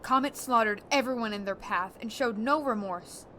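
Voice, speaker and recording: An actress playing a blunt, hardened war-veteran space captain in a science-fiction audio drama. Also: female voice, a little high, moderate pace, a bit bright, a little hiss or hum, close to an everyday voice. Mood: vengeful. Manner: blunt, hard-edged.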